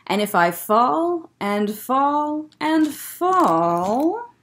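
Storybook kitten, male, small and plucky, boastful, high animated voice woman reader. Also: very slow, a little hiss or hum, exaggerated voice. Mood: proud. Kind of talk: children's picture book read-aloud.

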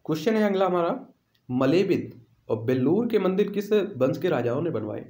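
A male teacher with a fairly high voice walks through quiz questions for exam students. He repeats questions and answers to drive them home. The recording has a strong room echo. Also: very animated, moderate pace, mellow. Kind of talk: instructional quiz walkthrough. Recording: echoey room sound.

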